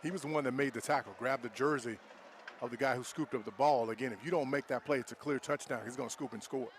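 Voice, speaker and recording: A male color analyst with a mid-range voice talks quickly through live football analysis. He explains the game like a plain-spoken coach, in a conversational way. There is faint outdoor noise behind him. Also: mid-range voice. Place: outdoor high school football stadium. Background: faint outdoor ambience.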